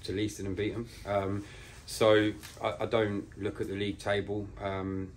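A man in his forties with a deep voice speaks as a football manager after a match. His delivery is measured and flat, pausing mid-thought. He is recorded on a camera mic indoors with slight background noise. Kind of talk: post-match interview. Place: small bare indoor room.

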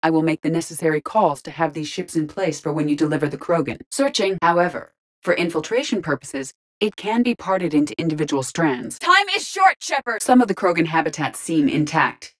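A precise, composed female android AI. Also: sits low. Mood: composed, analytical. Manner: precise, formal, measured diction. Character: female starship AI in an android body, logical, composed. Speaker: video game character voice actor. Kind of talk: scripted game dialogue, isolated voice lines.

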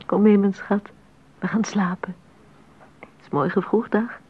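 A woman's voice: medium pitch, fairly even intonation, a little slow, husky. An affectionate wife and mother in a 1980s radio play. Her voice has a slight huskiness and a tender, intimate delivery with a light tease in it. The sound is dull and muffled. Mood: tender, cosy. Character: affectionate, gently teasing composer's wife and mother. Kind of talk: 1980s radio drama acting.

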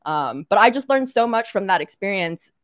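A woman's voice: mid-range voice, lively intonation, normal speed, dry recording, clean studio sound. A woman in her thirties from Arkansas, chatting casually as a guest on a video-call interview, with lots of "like" and "you know". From Arkansas, United States. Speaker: podcast host and guest. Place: home room, indoors. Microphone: webcam on a video call.

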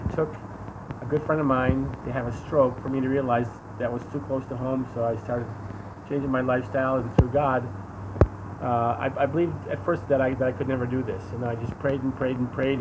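Male, 60s, American. Earnest, emphatic testimony in conversational style, shot outdoors over clear traffic noise. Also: mid-range voice. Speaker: interview guest giving testimony. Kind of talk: casual personal testimony. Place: outdoors against a sunlit wall. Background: vehicle and street noise.

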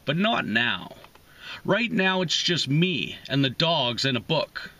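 A man in his thirties reading poetry aloud with deliberate pauses between short phrases, as host of a reading series, recorded inside a parked car. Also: a little high, very animated, pushed and bright. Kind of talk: slow, emphatic poetry reading.

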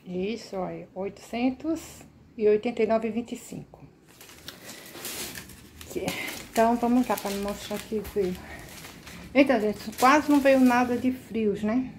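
A woman showing her grocery haul at home, listing each item one by one in a relaxed, casual way. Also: a little high, slight background noise. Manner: slow, listing items one by one. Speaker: vlogger. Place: kitchen at home, indoors.

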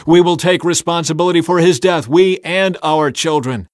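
A man voicing an angry, bloodthirsty member of a mob, shouting emphatic demands in a dramatized scripture reading. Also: a little high, dry recording, studio quality, voice pushed up, lightly acted. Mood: furious. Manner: shouting, drawn-out emphatic cries.

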